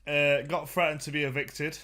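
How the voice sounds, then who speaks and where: A young man from the UK, chatting loudly on a podcast in his flat with a fairly high voice. He swears freely and laughs as he tells a story, a bit tipsy. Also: moderate pace. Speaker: podcast co-host. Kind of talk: crude casual banter. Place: flat, indoors.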